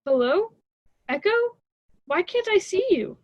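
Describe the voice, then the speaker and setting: Young woman acting a scheming college girl, high voice, dry sound, grand and dramatic, radio play. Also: big pitch swings, unhurried, no room sound, lo-fi sound, full character voice. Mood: petulant. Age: young adult. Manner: grandiose, theatrical.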